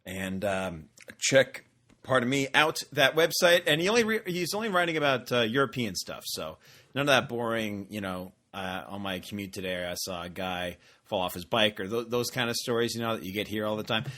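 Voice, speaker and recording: A man's voice: on the low side, lively intonation, a little fast, sharp tone. A man co-hosting a podcast from home, chatting casually and joking with lots of fillers and restarts. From Canada. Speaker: podcast co-host. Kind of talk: casual chat. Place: at home in front of a computer.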